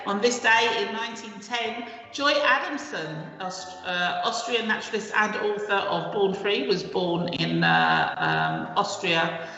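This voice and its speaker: A woman's voice: medium pitch, big pitch swings, a little slow, bright, forceful tone. A woman hosting a casual live stream show, chatty and hesitant, with many fillers as she reads out items. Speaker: host. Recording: roomy echo, dull lo-fi sound.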